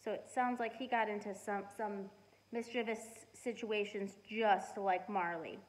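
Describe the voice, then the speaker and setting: A middle-aged woman reading a storybook aloud and chatting to children in an expressive, deliberate way. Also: mid-range voice, low-quality recording. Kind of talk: storybook read-aloud and casual chat to children. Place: living room at home.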